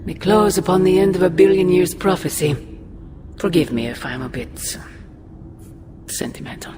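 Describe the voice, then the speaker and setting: A woman playing an ancient demonic sorceress mother in a game cutscene, menacing and mocking, with a grandiose, deliberate delivery. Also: fairly low pitch, background noise, natural voice. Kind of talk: dramatic video game cutscene acting. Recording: long cavernous reverb under loud music.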